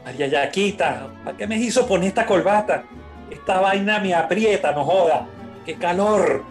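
A man's voice: high voice, moderate pace, natural voice. Man playing a folksy Venezuelan working fellow over music. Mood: grumbling. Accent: colloquial Venezuelan. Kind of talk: radionovela acting.

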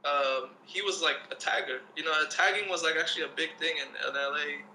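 Man, 30s, interview guest; bright, edgy tone, a little high; relaxed and rambling, faint background hiss. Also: a little fast, poor audio. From Lebanon. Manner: laid-back, rambling, many fillers like "you know what I'm saying". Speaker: guest, breakdancer. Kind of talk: casual interview chat.

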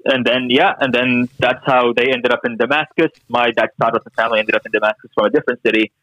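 A man's voice: medium pitch, steady tone, fast, fairly bright tone, dry recording, low-quality recording. Podcast guest: young man from Syria, dry wit, very close dry sound. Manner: dry, joking storyteller. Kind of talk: casual chat.

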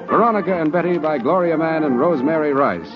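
A man's voice: on the high side, fast talker, dull audio. A man reading show credits as an announcer in 1940s radio style, on an old broadcast recording. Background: organ music.

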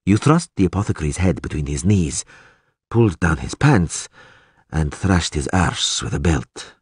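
A man plays a sardonic elf captive in a fantasy audiobook. He speaks with precise, dry sarcasm in a husky voice, his pitch moving freely. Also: expressive, moderate pace, no echo, exaggerated voice. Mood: dry, matter-of-fact. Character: sardonic male elf prisoner, sneering, coolly articulate.

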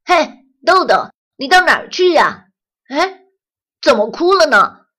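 A woman voicing a defensive, sulky young boy in a children's audio story, speaking loudly and quickly. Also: fairly high pitch, very animated, breathy edge, full character voice. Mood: concerned.

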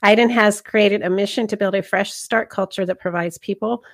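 A woman's voice: mid-range voice, fairly even intonation, moderate pace. Upbeat woman podcast host. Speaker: podcast host and health coach. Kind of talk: scripted podcast intro, then casual interview.

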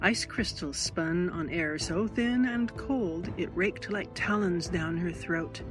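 A woman reading a short story aloud as dramatic, literary narration with deliberate emphasis. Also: fairly low pitch. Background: music under the voice.

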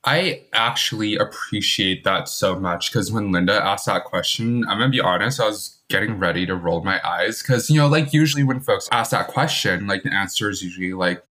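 A man co-hosting a podcast, chatting in an animated way with lots of "like" and "right?". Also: fairly low pitch, normal speed, few pauses. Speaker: podcast co-host. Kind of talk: casual chat.

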